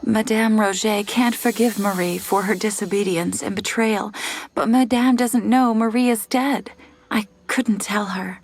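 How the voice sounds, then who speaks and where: A woman's voice: mid-range voice, steady tone, measured pace. An actress voicing an anxious, earnest young woman in a game cutscene. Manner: urgent, earnest.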